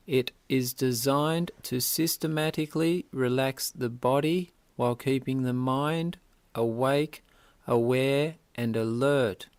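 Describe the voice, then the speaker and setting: A man leads a guided yoga relaxation at a very unhurried pace. His mid-pitched voice gives measured, deliberate instructions in short phrases, recorded dry and close with little room sound. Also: no echo.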